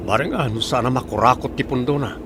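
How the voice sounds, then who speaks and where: A man's voice: mid-range voice, expressive, quick pace, very noisy, close to an everyday voice. A man with a Filipino accent playing a troubled businessman and son in a radio drama. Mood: casual grumbling. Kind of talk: Ilocano radio drama acting.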